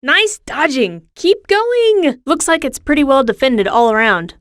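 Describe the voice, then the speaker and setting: An actress playing a confident, sassy young adventurer in a cartoon game, a wry and encouraging mission guide. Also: female voice, high voice, sing-song, a little slow, a bit bright, no echo, studio quality, exaggerated voice. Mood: upbeat, brisk. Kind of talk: video game character acting.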